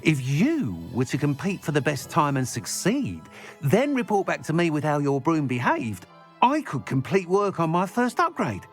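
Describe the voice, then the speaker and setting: An actor playing an eager, chatty shopkeeper and inventor, talking in enthusiastic sales patter. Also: male voice, fairly high pitch, wildly swooping pitch, moderate pace, faint music behind. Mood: keen, scheming. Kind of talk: scripted game dialogue.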